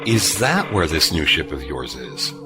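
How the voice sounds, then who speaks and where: A man playing a gruff, skeptical veteran starship officer in a sci-fi audio drama, with a dry, probing manner. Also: very deep voice, sing-song, measured pace, music in the background, exaggerated voice. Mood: curious, wary.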